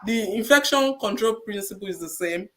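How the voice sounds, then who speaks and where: A woman with a Nigerian accent talks in a middle register, a nurse guest on a podcast. She gives advice in a measured, encouraging way and comes back to her main points so they stick. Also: mid-range voice, lively intonation.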